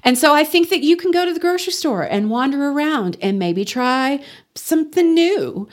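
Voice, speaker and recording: Loud, emphatic Texas-raised woman. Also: high voice, lively intonation, normal speed. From Texas Panhandle. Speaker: interview guest. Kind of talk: radio interview conversation.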